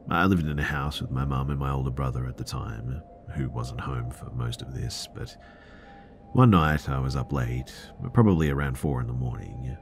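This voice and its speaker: Male horror-story narrator, exceptionally deep pitch, measured and suspenseful delivery, faint ambient noise underneath. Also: a little mellow. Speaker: narrator of listener horror stories. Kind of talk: scary first-person storytelling.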